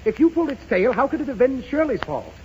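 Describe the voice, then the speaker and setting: A man's voice: very high voice, sing-song, quick pace, a little mellow. An actor playing an exasperated, blustery father who sputters as he tries to keep his temper, in 1930s radio comedy style. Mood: strained calm. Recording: muffled noisy 1930s radio recording.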